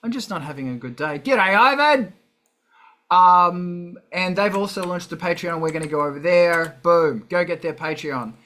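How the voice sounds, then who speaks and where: A man's voice: high voice, moderate pace, bright, forceful tone. A man hosting a casual livestream in a sweary, jokey, rambling manner. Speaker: show host.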